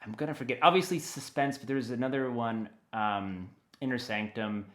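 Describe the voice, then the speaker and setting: An American man talking casually and enthusiastically as an interview guest, animated and given to rambling asides. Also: medium pitch, normal speed. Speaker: interview guest, audio dramatist.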